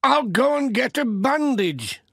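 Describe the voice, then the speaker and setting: A man's voice: very high voice, lively intonation, measured pace, vocal fry, bright, forceful tone, slightly rough, no room sound, clean studio sound, pitched above the performer's own voice, heavily acted. A man voicing a plain-spoken farming countryman for a children's audiobook. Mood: alarmed, concerned. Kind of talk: children's audiobook character reading.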